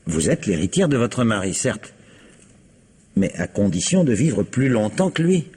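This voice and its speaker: An actor playing a cautious, formal notary who talks with measured, hesitant pauses in an old French radio drama. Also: male voice, lowish voice, sing-song, dark tone, breathy edge, exaggerated voice. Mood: explaining. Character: cautious, formal middle-aged male notary.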